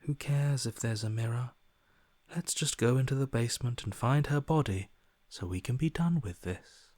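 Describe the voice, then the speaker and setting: Male actor as cold detached game character, hushed, breathy, deadpan, bored, drawn-out sarcasm, dry close sound. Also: medium pitch, no room sound, lightly acted. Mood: dismissive. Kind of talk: video game character acting.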